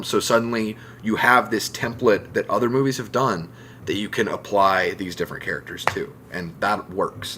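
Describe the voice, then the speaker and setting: A man's voice: lowish voice, fairly bright tone, a little hiss or hum. A man talking casually and emphatically on a discussion podcast, giving his opinions. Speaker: podcast co-host.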